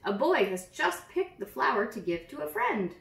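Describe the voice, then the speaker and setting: A woman reading a picture book aloud to young children in an expressive storytelling manner, with simple phrasing. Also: a little high, normal speed, creaky. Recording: roomy echo, distant from the mic.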